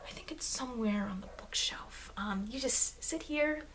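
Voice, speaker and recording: A woman improvising a soft-spoken, rambling ASMR roleplay as a friendly, chatty vampire, recorded on an amateur microphone. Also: on the high side, moderate pace. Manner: rambling, conversational, frequent "you know". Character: friendly, chatty immortal young-looking female vampire researcher.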